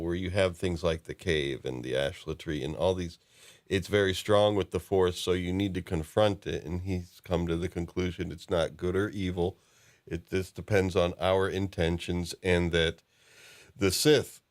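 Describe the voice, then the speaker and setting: A man hosting a casual fan discussion about lore, talking haltingly as he thinks aloud. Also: deep voice. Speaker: podcast host.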